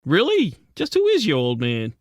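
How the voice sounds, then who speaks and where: A man playing a wisecracking, soft-hearted penguin private detective in a radio drama, narrating and talking in old-time gumshoe slang. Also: a little high, wildly swooping pitch, normal speed, no echo, studio quality. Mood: gruff, puzzled. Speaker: lead actor and narrator.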